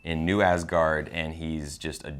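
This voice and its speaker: A man's voice: rumbling low, little pitch movement, measured pace, a bit bright, a little hiss or hum. A man co-hosting a casual film discussion, enthusiastic and slangy in the way he talks. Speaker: co-host of a movie review show.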